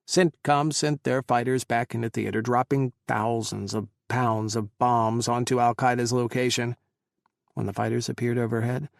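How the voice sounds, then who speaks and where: A man narrating an audiobook in a steady, measured storytelling voice on a close studio mic. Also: medium pitch, vocal fry, no echo.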